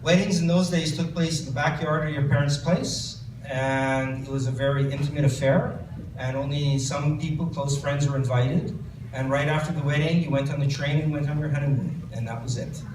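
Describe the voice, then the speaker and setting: A man's voice: a little high, fairly even intonation, moderate pace. Canadian man reading aloud in an echoey room. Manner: hesitant, many fillers and restarts. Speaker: author. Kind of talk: book reading and audience Q&A. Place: bookstore event space. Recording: roomy distant recording with background noise.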